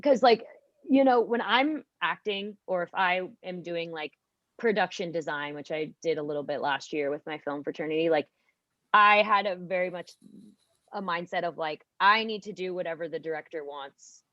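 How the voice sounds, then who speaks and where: A young adult podcast guest chatting casually, rambling with lots of "like" and restarts. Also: female voice, fairly low pitch, moderate pace, fairly bright tone, dry recording. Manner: rambling, heavy use of "like". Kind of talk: casual chat. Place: college radio studio. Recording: dull lo-fi dry sound.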